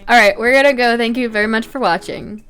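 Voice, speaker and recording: A woman's voice: a little high, quick pace, a bit bright, smooth. A woman hosting a casual chat in an easygoing, giggly manner. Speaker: host, artist. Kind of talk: casual chat, video commentary.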